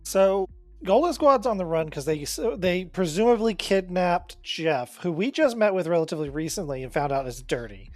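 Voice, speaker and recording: Tabletop player, sarcastic, quick questions, mid pitch, dry close mic. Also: expressive, a little mellow, no room sound, soft background music. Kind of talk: casual tabletop game banter.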